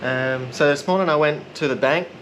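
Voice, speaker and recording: A man narrating a casual vlog in a relaxed, rambling, self-deprecating way. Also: fairly high pitch, moderate pace, a little hiss or hum. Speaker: vlogger.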